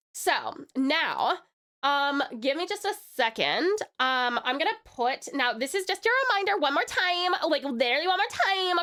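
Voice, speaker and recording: A woman's voice: high voice, a bit bright. A woman hosting a chatty livestream in a put-on, exaggerated California Valley Girl accent, gushing and giggly with sugary pet names for viewers. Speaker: livestream host.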